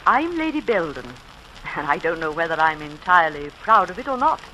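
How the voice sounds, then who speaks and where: An actress playing a proud old British aristocrat, giving a stately, rousing speech in 1940s radio style. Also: female voice, fairly low pitch, very animated, brisk, pushed and bright, slight background noise, poor audio. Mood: proud, candid. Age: older. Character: grand old aristocratic lady, proud, stirred to patriotism.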